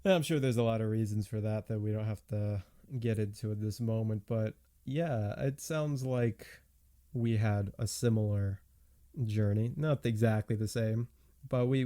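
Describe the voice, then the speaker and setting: A man's voice: lowish voice, mellow. A man hosting a podcast, talking casually in a political discussion. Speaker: podcast host.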